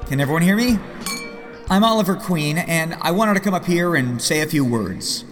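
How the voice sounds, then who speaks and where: A man's voice: a little high, expressive, moderate pace, close to an everyday voice. A man plays a wealthy, sardonic hero in his late thirties for an audio drama. His delivery swings freely between dry banter and earnest sincerity. The voice rings in a big hall with faint music behind. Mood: awkward. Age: 30s. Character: rich, sardonic, earnest middle-aged male vigilante heir.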